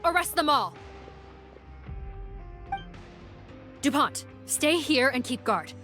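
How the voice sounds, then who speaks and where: A woman's voice: high-pitched, lively intonation, normal speed, sharp tone, soft background music. An actress playing a serious young woman police captain in a video game, composed and decisive as she gives orders. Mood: commanding.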